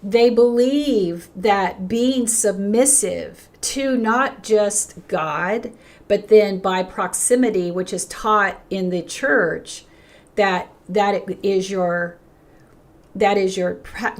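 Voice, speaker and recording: An older woman is talking on her own livestream, her voice worn hoarse and fading. She speaks with earnest emphasis, often stopping to restart a phrase, and her pitch lifts brightly when she greets people in the chat. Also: slow. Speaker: pastor hosting a livestream. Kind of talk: unscripted livestream talk, reading chat comments.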